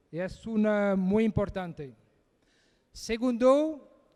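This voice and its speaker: A man giving a technical conference presentation, speaking haltingly as he searches for words in a second language. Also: sits high, a little mellow, clear, resonant tone, long reverb tail.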